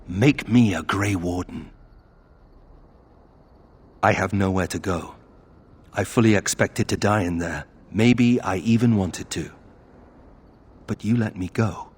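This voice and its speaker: An actor playing a brooding, earnest young nobleman in a video game, with a husky, intense voice. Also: low voice, takes it slowly, pauses often, a little mellow, full character voice. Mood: pleading.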